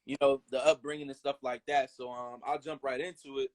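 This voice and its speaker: A man's voice: mid-range voice, level tone, a little fast. A man hosting a casual interview, asking friendly questions in slangy speech with lots of "you feel me" and "you know". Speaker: interviewer and host.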